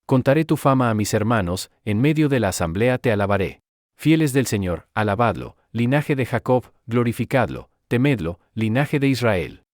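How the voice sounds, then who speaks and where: Male reader, psalm, measured, dry studio sound. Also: medium pitch, lively intonation, darkish tone, dry recording. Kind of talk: psalm reading.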